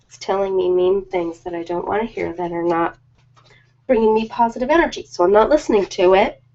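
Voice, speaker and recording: A woman's voice: mid-range voice, creaky. A woman chatting casually and informally on an ASMR live stream, rambling from one thought to the next. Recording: dull lo-fi live-stream audio.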